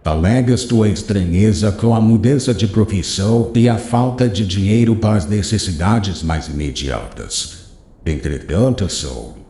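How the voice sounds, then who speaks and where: Guy doing wise old rabbi, low mellow voice, lots of lilt, slow solemn preaching. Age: older. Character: wise old male rabbi teacher, gentle and consoling. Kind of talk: audiobook character reading. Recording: roomy echo.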